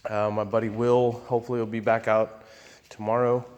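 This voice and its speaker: A man with a fairly low voice talks through things casually and without a script. His delivery is folksy and rambling, with halting restarts. The sound rings with long reverb, like a big indoor space. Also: level tone, darkish tone, clear, resonant tone, dull audio. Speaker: aircraft owner and pilot. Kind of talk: casual unscripted walkthrough.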